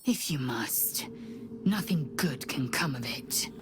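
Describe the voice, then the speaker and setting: A woman's voice: a bass voice, expressive, slow, noisy background, full character voice. An actress playing a proud, guarded alien warrior woman with a clipped, grudging manner.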